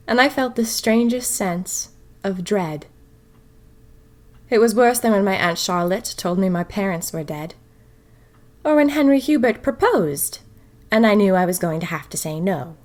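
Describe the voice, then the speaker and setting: A woman's voice: medium pitch, close to an everyday voice. A woman playing a dreamy, self-dramatizing young 1920s woman who confides her diary in an audio drama. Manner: wistful, confiding, wryly dramatic. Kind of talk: first-person diary audio fiction.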